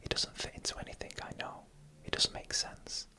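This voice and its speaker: A narrator tells scary stories in a breathy ASMR whisper with no voice in it. The sound is bright and crisp-edged. The delivery is hushed and deliberate, taken one phrase at a time. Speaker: narrator reading online stories.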